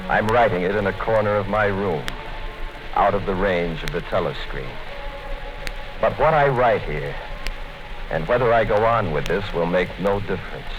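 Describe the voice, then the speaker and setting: A secretive, fearful office worker brooding over his diary in a 1950s radio play. His voice sits low and dull, with music behind it. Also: expressive, normal speed. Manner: tense, brooding inner monologue. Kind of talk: 1950s radio drama narration.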